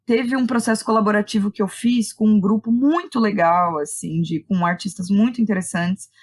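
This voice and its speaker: A woman's voice: medium pitch, moderate pace. A woman with a Brazilian accent explains her work as a guest in a casual, reflective interview, often checking in with small tag questions. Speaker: interview guest, playwright and director.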